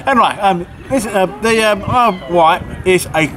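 A man's voice: high voice, moderate pace, a crowd in the background. A man in his thirties chatting cheerfully and rambling to the camera outdoors on a quay. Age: 30s. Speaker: trip participant interviewed. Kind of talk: casual chat to camera. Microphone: camera mic across a table. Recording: outdoor noise from traffic.

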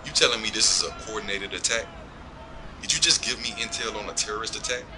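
A man's voice: fairly low pitch, quick pace, a crowd in the background, noisy background, close to an everyday voice. A man playing a smug, corrupt lawman in an audio drama, taunting and profane as he coolly threatens his informant. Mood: mocking, hard. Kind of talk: scripted audio drama dialogue.

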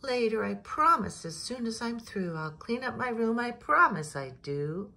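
Storybook little girl, played by a woman: playful, excitable, higher voice, sing-song rhymes. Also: measured pace, vocal fry, exaggerated voice. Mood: earnest, pleading. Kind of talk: children's storybook read-aloud.

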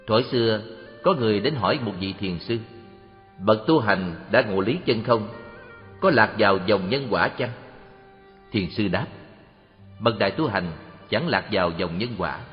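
A man's voice: lowish voice, animated, unhurried, pauses often. A man reading a Buddhist text as scripted religious audiobook narration. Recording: muffled, long hall reverb, faint music. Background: faint music.

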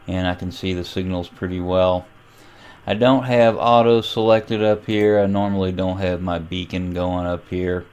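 A man giving an informal, step-by-step hobbyist walkthrough of software and equipment. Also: low-pitched, clear, resonant tone. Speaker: amateur radio hobbyist giving a tutorial. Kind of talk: casual software and radio walkthrough.

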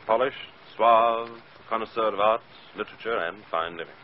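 A man's voice: fairly low pitch, normal speed, lightly acted. An actor playing an urbane, genial young man of wealth in 1940s radio style, sounding cultured. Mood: genial, admiring. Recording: muffled, noisy 1940s radio recording.

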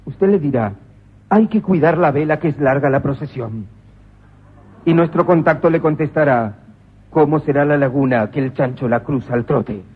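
A ruthless police commissioner in an old radio comedy, slightly high and husky. He speaks in stern, clipped orders and sometimes erupts into manic shouts. The broadcast sounds muffled. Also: moderate pace. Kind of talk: 1990s radio comedy drama.

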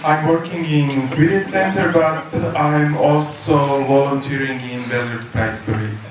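A man from Serbia introduces himself lightly to a group. He speaks slowly in a slightly high voice, in a very echoey room with people audible. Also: recorded from a distance. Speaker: activist volunteer. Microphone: handheld microphone. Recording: very echoey room, crowd noise.

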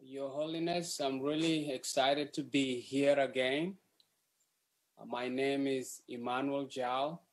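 A young man from South Sudan speaks at an unhurried, measured pace as he puts a prepared question. His delivery is earnest and a little formal, picked up by a webcam on a video call from his home. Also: mid-range voice, steady tone. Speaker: activist asking a question. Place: home room.

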